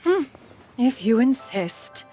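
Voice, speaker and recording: A woman's voice: high-pitched, sing-song, slow, warm tone, faint music behind, heavily acted. An actress playing a proud ancient female spirit in human form, speaking in a formal, archaic, pompous way in a video game. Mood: stately.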